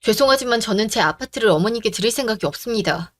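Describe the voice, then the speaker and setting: A woman plays a composed young heroine in a radio drama. She speaks calmly and reasons firmly. Her voice is a touch high. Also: quick pace, no echo, natural voice. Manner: calm, reasoned and firm. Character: calm, firm young woman who stands her ground.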